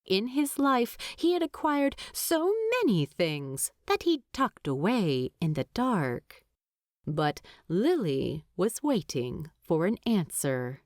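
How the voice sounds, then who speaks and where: A woman narrating a story from a script in an expressive storytelling style. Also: fairly low pitch, takes it slowly, no room sound, clean studio sound.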